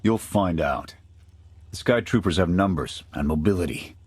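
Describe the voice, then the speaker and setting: An actor playing a gruff veteran male soldier who leads a squad, blunt and clipped, in a video game. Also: lively intonation, moderate pace, a little mellow, no echo. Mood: steady. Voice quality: deep gravelly voice. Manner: blunt, clipped military orders. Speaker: squad leader.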